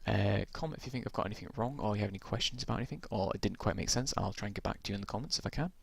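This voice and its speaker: A man casually walking through tabletop game rules, rambling with lots of ums and restarts, his voice gone croaky from talking so long. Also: lowish voice, very fast, no echo.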